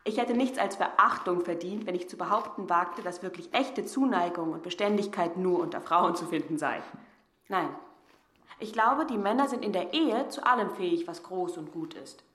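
A woman playing a mature, thoughtful gentlewoman in a radio drama, speaking in an earnest, measured and articulate way. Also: lowish voice, roomy sound, lightly acted. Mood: earnest, tender.

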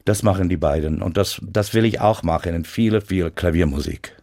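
Brief: American guest speaking careful, halting German, low-pitched, many restarts. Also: male voice, a little mellow. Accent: American English. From United States. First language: English. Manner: halting, searching for German words, frequent restarts. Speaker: guest pianist. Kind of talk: radio interview.

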